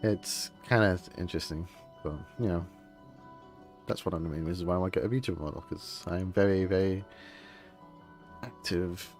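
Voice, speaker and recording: A man's voice: low-pitched, moderate pace, long pauses, a little mellow. A man doing casual livestream game commentary in a rambling, offhand way. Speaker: game streamer. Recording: faint game music behind.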